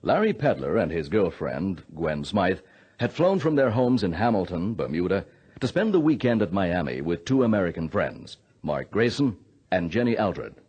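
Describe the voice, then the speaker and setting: An actor playing a steady, grave air traffic controller who narrates a mystery in a radio drama. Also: male voice, low-pitched, moderate pace, husky, exaggerated voice. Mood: grave narration. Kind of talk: dramatic first-person radio narration and dialogue.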